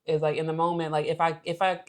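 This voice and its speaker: A young woman with an American accent co-hosting a relationship show, explaining things casually and haltingly as she thinks aloud. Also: deep voice, little pitch movement, darkish tone. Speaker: co-host of a couples' relationship show.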